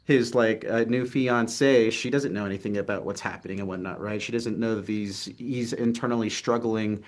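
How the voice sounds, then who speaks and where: A man's voice: lowish voice, steady tone, moderate pace. A young man from Texas, a film writer and actor, talking earnestly over a video call in a casual interview, with lots of ums and restarts. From Texas, United States. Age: young adult. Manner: earnest, hesitant, many fillers. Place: city apartment with street traffic outside.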